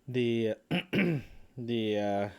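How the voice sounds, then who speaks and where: A man who is sick and grieving records a reflective solo audio diary at home, speaking haltingly and searching for words. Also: medium pitch, animated. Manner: halting, searching for words, frequent throat clearing. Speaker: podcast host recording a personal audio log. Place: indoors at a family home.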